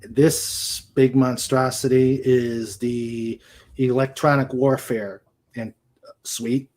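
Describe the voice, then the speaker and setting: A man chatting casually as co-host of a livestream, halting and often searching for his words. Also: mid-range voice, flat delivery, a little mellow.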